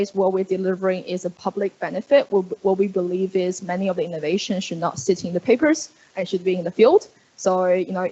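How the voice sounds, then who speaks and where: A woman's voice: a little mellow, lo-fi sound. A woman giving a conference presentation, speaking with many fillers and restarts. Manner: hesitant, many fillers, upbeat pitch. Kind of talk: conference talk. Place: conference room.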